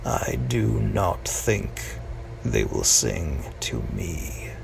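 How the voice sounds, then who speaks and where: Guy reading a poem really slowly, gravelly and expressive, bit far from the mic w faint noise. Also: low voice. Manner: slow, deliberate phrasing with long pauses and hushed drops. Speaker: amateur poetry reader. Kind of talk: dramatic poetry recitation.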